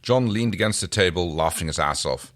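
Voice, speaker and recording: A man co-hosting a fan fiction reading, narrating the story aloud in an expressive way. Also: low-pitched, brisk. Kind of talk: animated story reading with character voices.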